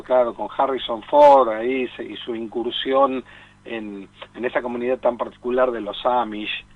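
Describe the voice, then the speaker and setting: A man with an Argentine accent giving halting, reflective conversational commentary as a film columnist, calling into a radio show. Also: mid-range voice. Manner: halting, reflective, repeats himself. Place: remote call into a radio studio. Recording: thin phone-line sound.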